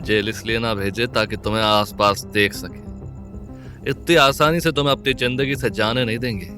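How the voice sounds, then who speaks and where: A man's voice: on the low side, steady tone, moderate pace, pushed and bright, faint music behind. An actor playing a fearless, proud man in an audio drama, with a provoking, swaggering manner. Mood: taunting, confident. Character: defiant, fearless young male crime-drama lover, taunting and proud.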